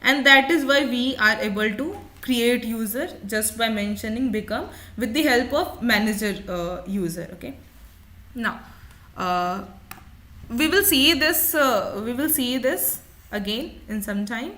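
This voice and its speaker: A woman gives a software tutorial in a fairly high, very bright voice. She explains each step of what is on screen and restates key points as an instructor would. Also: unhurried.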